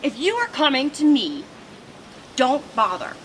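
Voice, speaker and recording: A woman's voice: sits high, huge pitch swings, measured pace, pitched above the performer's own voice, exaggerated voice. A woman voicing a proud, offended male lizard who speaks in a stiff, aloof way in a storybook reading. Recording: noisy outdoor recording with traffic.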